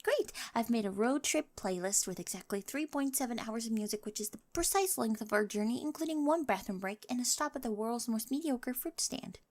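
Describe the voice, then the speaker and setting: A woman's voice: on the high side, lively intonation, brisk, hardly any pauses, a little mellow. A woman voicing an eccentric, infatuated teenage girl, rambling nervously and over-eagerly in an ASMR romance roleplay. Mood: giddy, proud. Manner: rambling, self-undercutting, nervous confessions.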